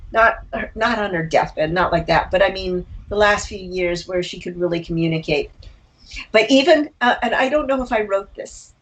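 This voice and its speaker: An older woman talking reflectively as an interview guest, a writer and actor who tells personal stories haltingly, with candid asides. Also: fairly low pitch, poor audio.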